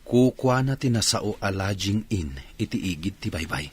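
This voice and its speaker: A man's voice: lowish voice, expressive, moderate pace, husky. A man acting a proud young job-hunter from a fallen hotel family in an Ilocano radio drama. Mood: persuading.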